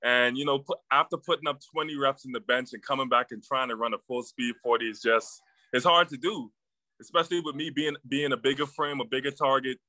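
A young man from the Bahamas, a newly drafted football player, answering press questions in a halting way with many fillers. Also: medium pitch, steady tone, sharp tone, dry recording. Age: young adult.

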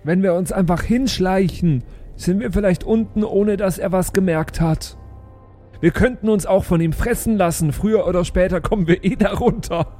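A man with a high, mellow voice chats in character as a fantasy game player. His talk is improvised and conversational, with faint music behind it. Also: moderate pace. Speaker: role-playing game player.

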